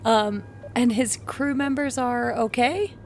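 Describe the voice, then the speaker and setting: Higher-voiced woman, playful. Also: slow, darkish tone, a little hiss or hum. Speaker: player in a tabletop game. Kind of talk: casual playful banter.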